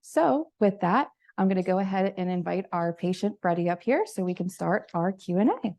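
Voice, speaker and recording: A woman's voice: on the low side, lively intonation, normal speed, a little mellow. A woman hosting a live event, presenting to an audience and then moderating a Q and A, upbeat with frequent fillers.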